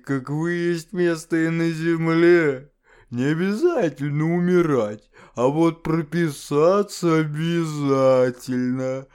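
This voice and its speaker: A man voicing a sinister male house-manager spirit whose voice is made of static, speaking slowly and menacingly in an audiobook. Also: fairly high pitch, darkish tone, clean tone, pitched above the performer's own voice. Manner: slow, menacing, whispering. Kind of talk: audiobook character voice.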